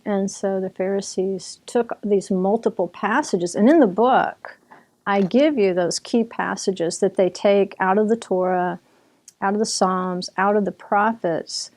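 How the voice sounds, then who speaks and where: This is a woman with a mellow, warm voice explaining history in an easy, conversational way. She talks like a teacher chatting with a friend, restarting sentences and circling back as she goes. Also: mid-range voice. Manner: chatty, with restarts and occasional laughter. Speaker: author and Bible teacher.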